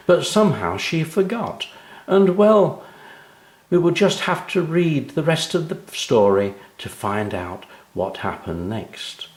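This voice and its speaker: A man's voice: lowish voice, roomy sound. A man narrating a children's story expressively, speaking directly to young listeners. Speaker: author and narrator.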